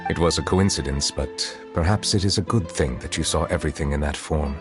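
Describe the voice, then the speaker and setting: A man voicing a solemn chief judge who is secretly a dragon, speaking in a formal, grave and measured way in a video game. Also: a bass voice. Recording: game audio with music behind.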